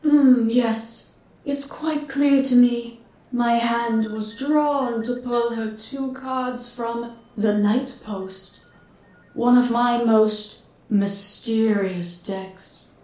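A haughty, mysterious fortune-teller, played by a woman, speaks with theatrical grandeur. Her delivery is drawn out and very slow, in a roomy space at some distance from the mic. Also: fairly high pitch, fairly even intonation, dark tone. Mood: knowing, mysterious. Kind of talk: audio drama acting. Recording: roomy, set back from mic.

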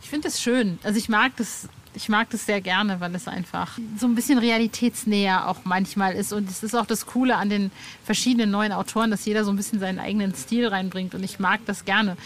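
A woman's voice: medium pitch, fairly even intonation, fairly quick. Female podcast interviewer, informal and keen, casual slangy chat, faint background noise. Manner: informal, enthusiastic fan talk with youthful slang.

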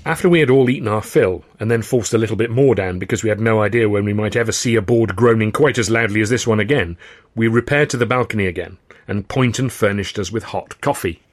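A man reading his own first-person novel as an audiobook, with a wry, measured storytelling manner. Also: lowish voice, dry recording.

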